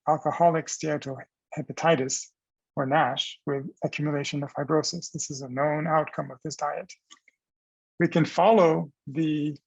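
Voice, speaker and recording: A man giving a scientific research presentation as a professor, explaining in a measured, halting way with restarts. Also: fairly high pitch, vocal fry, slightly rough, no echo, low-quality recording.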